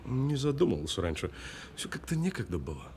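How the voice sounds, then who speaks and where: An actor playing a cool, sardonic detainee who needles a policeman with deliberate, mocking delivery in an audio drama. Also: mid-range voice, very animated, darkish tone, slight background noise. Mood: calm, sardonic. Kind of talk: naturalistic audio film drama acting.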